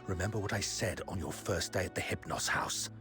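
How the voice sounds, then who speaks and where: A man's voice: low-pitched, fairly quick, over music, close to an everyday voice. A man voicing a stern, protective guardian in a video game, scolding in a curt, authoritative way. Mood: stern warning.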